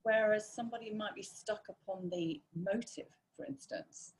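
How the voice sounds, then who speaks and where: A woman's voice: mid-range voice, moderate pace, poor audio. A woman taking part in a live Q&A call, asking her question hesitantly and searching for words.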